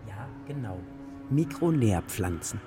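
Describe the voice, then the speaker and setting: A man playing a wily, smooth-talking figure pitching an invention in a children's radio play, casual and persuasive. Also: sing-song, unhurried, long reverb tail, over music. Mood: sly, persuasive.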